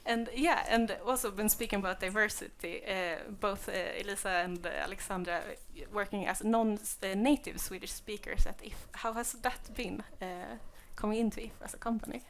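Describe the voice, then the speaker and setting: A woman's voice: mid-range voice, moderate pace, hardly any pauses, slight background noise. A woman moderating a panel discussion, asking questions with many hesitations and fillers.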